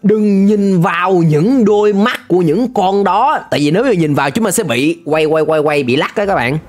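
A man's voice: high-pitched, lively intonation, moderate pace, a little mellow. A young man hosting a reaction video, commenting casually and exclaiming at the cartoon he watches. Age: young adult. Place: indoor gaming room. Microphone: close microphone on a boom arm, headset worn. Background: cartoon soundtrack music.

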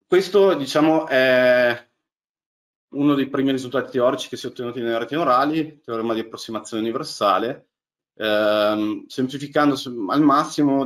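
A man's voice: medium pitch, fairly bright tone. A man, giving a technical lecture over a webcam and talking through slides with many fillers and restarts. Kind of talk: technical lecture on deep learning. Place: small indoor room. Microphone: webcam on a video call.

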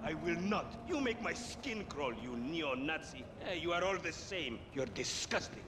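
Oily, animated car dealer. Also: male voice, a little high, normal speed, background noise. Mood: indignant. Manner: effusive, formal phrasing, melodramatic. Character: oily, theatrical middle-aged male car dealer. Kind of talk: video game cutscene acting.

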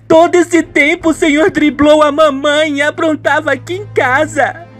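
A man's voice: very high voice, steady tone, moderate pace, fairly bright tone, voice pushed up. A man playing a shocked, reproachful young daughter on the verge of tears in a radio soap opera. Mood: tearful, reproachful.

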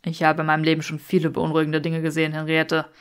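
A smug young aristocrat from a fantasy audiobook, acted by a woman reader. He speaks low with a creaky edge, needling and mock-serious, drawing out his teasing. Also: monotone, quick pace.

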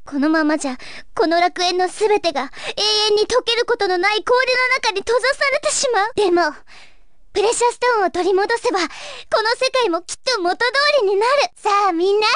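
An actress playing a gentle, earnest young fairy guide of a dream world in a video game, with a wistful, pleading manner. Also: female voice, extremely high pitch, fairly quick, no echo, clean studio sound. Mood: concerned. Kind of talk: Japanese video game story acting.

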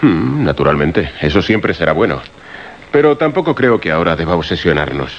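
An actor playing a level-headed, assured radio director in a radio drama. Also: male voice, sits low, sing-song, fairly quick. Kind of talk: Spanish radio drama acting. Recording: muffled radio recording, faint noise.

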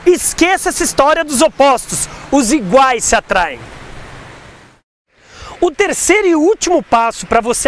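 A man's voice: very high-pitched, fairly bright tone, slight background noise. A Brazilian man in his forties, a sales speaker hosting a motivational segment, talking emphatically to camera with rhetorical questions, recorded outdoors on a lapel mic. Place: outdoors on an overpass above a busy road.

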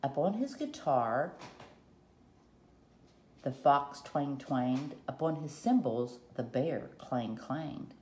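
A woman reading a children's picture book aloud in short, deliberate rhyming phrases, recorded at a table at home. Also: very deep voice, lively intonation, darkish tone. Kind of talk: read-aloud storytelling. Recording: roomy echo, faint background noise.

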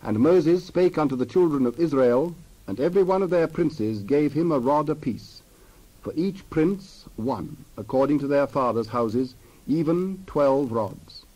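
A man narrating dramatized scripture in a measured, solemn manner. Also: mid-range voice, a little mellow, slightly rough, low-quality recording.

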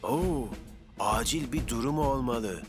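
Eager firefighter over loud music. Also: male voice, mid-range voice, lively intonation, slow. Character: eager, good-natured young firefighter. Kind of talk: animated cartoon dub.

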